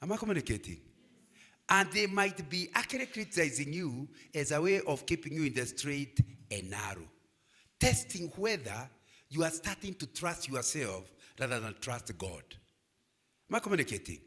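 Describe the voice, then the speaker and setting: Older Kenyan man, lively preacher to students, bright and high-pitched. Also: normal speed, husky. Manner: animated preaching, asks "Am I communicating?". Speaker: guest speaker.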